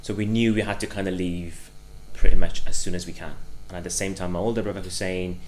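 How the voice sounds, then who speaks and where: A man in his twenties, from Afghanistan, with a Welsh accent, talking through his life in an interview in a reflective way, often pausing with fillers. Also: low-pitched, steady tone, a little mellow, a little hiss or hum. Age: 20s. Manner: reflective, hesitant, many fillers. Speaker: guest. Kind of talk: casual interview.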